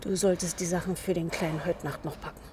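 An actress playing a matter-of-fact prison warder, brusque but sympathetic, terse and plain-spoken in a naturalistic radio drama with background noise. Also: female voice, fairly low pitch, steady tone, quick pace, lightly acted. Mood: subdued, sympathetic.

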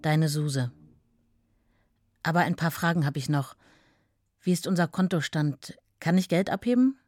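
An actress playing a writer's devoted, practical wife in a radio play, recounting troubles and reading letters. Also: female voice, deep voice, a little slow, darkish tone, no room sound, studio quality. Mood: earnest, practical.

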